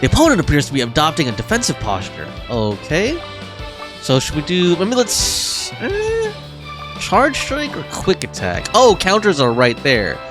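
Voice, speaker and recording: A woman's voice: low voice, huge pitch swings, moderate pace. A woman streaming a game with casual commentary, sometimes reading the on-screen text aloud. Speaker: gaming streamer. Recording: game music behind the voice. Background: video game music.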